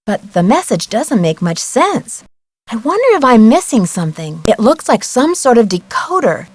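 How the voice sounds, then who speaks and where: A curious young American girl detective in an adventure game. The voice sits fairly high with lively intonation. The delivery is clearly articulated and earnest, like someone thinking aloud through a mystery. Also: moderate pace, no room sound. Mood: curious, musing. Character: Nancy Drew, plucky curious young female amateur sleuth.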